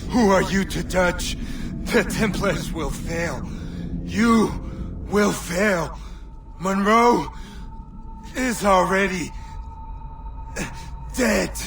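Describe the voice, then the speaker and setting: A man's voice: high voice, extremely slow, creaky, long pauses, distant mic, over music, exaggerated voice. A man playing a bitter, contemptuous, defiant assassin warrior in a dramatic video game performance. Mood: defiant, dying.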